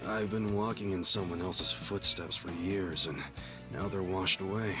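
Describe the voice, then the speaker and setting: An earnest fortysomething dreamer. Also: male voice, low voice, fairly even intonation, measured pace. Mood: downcast. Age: 40s. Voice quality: earnest, wistful and easily fired up. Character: earnest, dreamy man over forty wanting to be a hero. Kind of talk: video game cutscene dialogue. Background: game music.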